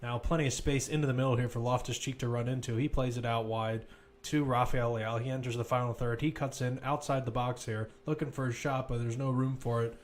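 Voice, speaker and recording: A man's voice: medium pitch, fairly even intonation, brisk, a little hiss or hum. A man commentating live on a football match, mixing play-by-play calls with informal, conversational analysis.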